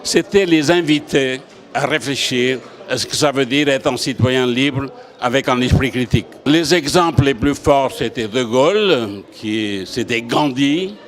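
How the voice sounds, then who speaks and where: An older man from Portugal, president of an association, speaking in a measured, deliberate interview. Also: mid-range voice. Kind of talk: interview statement.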